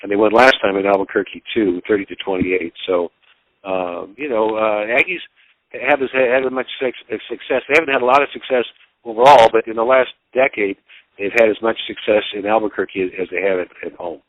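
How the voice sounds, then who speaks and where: A man giving casual sports commentary as an interviewed broadcaster, in a halting, rambling, folksy way. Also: fairly low pitch, no echo, phone-quality sound.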